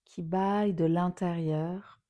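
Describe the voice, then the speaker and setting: Slow, mellow woman guiding relaxation. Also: lowish voice, steady tone. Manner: soothing, unhurried, phrases softly trailing into breathy near-whispers. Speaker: yoga nidra teacher. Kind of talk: guided relaxation meditation.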